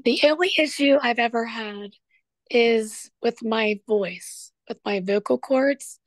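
Caller, woman, raspy, candid and chatty, dry close audio. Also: a little high, slow pace, no room sound. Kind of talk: conversation with a show host.

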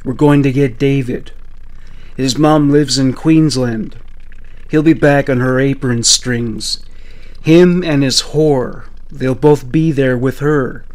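A man's voice: medium pitch, steady tone, slow pace, darkish tone, natural voice, low-quality recording. A man voicing a menacing, coarse, violent woman. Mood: cold menace. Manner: threatening, coarse, commanding. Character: violent, menacing, foul-mouthed woman kidnapper with a knife.

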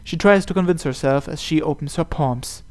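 A man's voice: on the high side, normal speed, slight background noise. A man narrating a visual novel aloud as host, reading the scripted text.

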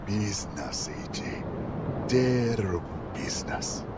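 Game preacher and ex-warlord: fervent, theatrical, slow-paced, hushed to thundering with huge pitch swings. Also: male voice, sing-song, noisy background. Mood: hushed, grave. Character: fervent gun-loving preacher, a former warlord. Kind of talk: scripture-quoting sermon in a game cutscene.

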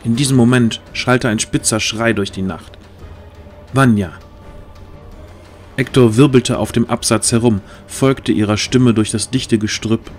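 A man's voice: medium pitch, fairly even intonation, measured pace, faint music behind. A man narrating a scripted fantasy audio drama, reading the story as a narrator.

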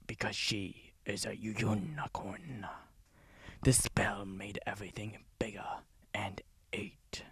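A man whispering a story in a slow, deadpan way. His voice is low, gravelly and very husky, and the sound is dry and close. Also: no echo. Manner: soft breathy whisper, deadpan. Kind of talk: whispered ASMR-style storytelling.

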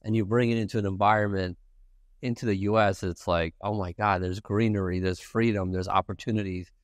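A man's voice: fairly low pitch, fairly even intonation, brisk, no echo, clean studio sound. A man in his forties from Ukraine, Russian his first language, answering questions earnestly as a podcast guest in an office. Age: 40s. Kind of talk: casual interview. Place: bright office with a lounge set.

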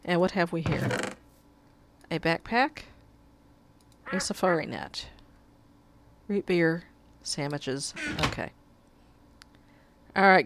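A woman with a cold gives slow, unhurried commentary on her game in a lowish voice. She reads the on-screen text aloud and swears when things go wrong. A faint hiss sits under the recording. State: has a cold, sucking a cough drop. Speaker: let's play gamer. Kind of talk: casual gameplay commentary.

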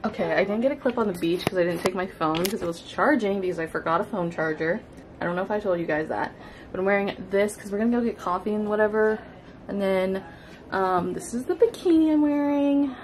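A woman's voice: medium pitch, brisk, low-quality recording. A young woman in her twenties, chatting upbeat to a handheld camera as a vlogger, with lots of likes and ums. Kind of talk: casual vlog chat to camera. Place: hotel room, cafe and thrift store. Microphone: handheld camera mic.

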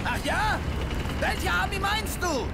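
High-voiced brash young soldier. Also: male voice, expressive, slow pace, vocal fry, heavy background noise, full character voice. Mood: flippant. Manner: brash, slangy, flippant. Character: brash gold-hungry young soldier, cocky and dim. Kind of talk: video game cutscene dialogue, German dub.

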